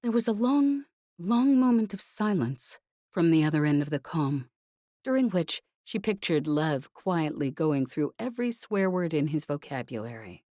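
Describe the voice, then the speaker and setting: A woman narrates a novel in a low-pitched, mellow voice. The sound is very dry and close, with the measured delivery of scripted audiobook reading. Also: animated, no echo.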